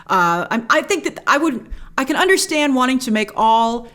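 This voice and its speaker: A woman's voice: high-pitched, sing-song, normal speed, sharp tone. A woman hosting a review show, giving casual, opinionated commentary in an emphatic way, leaning hard on key words. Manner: emphatic, gushing in praise, stresses key words. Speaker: host of a film review show.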